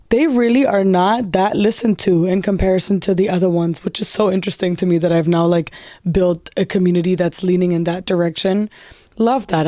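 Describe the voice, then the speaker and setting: A young woman hosting a solo podcast in a candid, profane, chatty way, her voice raspy and tired after a weekend of socializing. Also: lowish voice, warm tone, no echo, clean studio sound. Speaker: podcast host. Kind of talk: casual solo podcast monologue.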